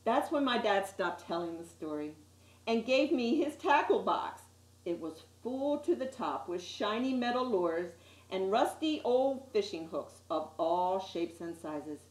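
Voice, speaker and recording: A woman reading a children's picture book aloud with dramatic, deliberate emphasis on key words. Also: mid-range voice, lively intonation. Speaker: storytime reader. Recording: roomy, echoey sound.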